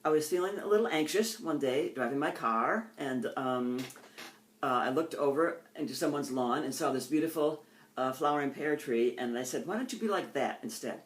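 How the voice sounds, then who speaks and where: Older woman, American accent, low voice, poet, deliberate phrasing, informal poetry reading. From United States. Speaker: poet reading own work.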